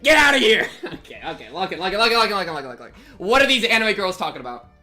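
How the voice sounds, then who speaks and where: A man's voice: high-pitched, sing-song, racing, pushed and bright. A young man giving casual, slangy, goofy commentary on a game and reading it aloud. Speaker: game streamer. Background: game music.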